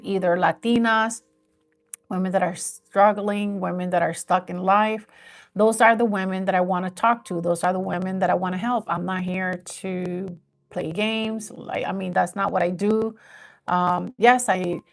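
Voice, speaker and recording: A woman's voice: mid-range voice, vocal fry. A woman in her fifties chatting casually and earnestly on a live stream, rambling a little as she talks about growing her page. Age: 50s.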